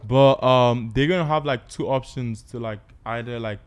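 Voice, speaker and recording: A man's voice: mid-range voice, normal speed, clean tone. A male podcast host who rambles with lots of fillers while introducing his guests. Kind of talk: casual podcast hosting.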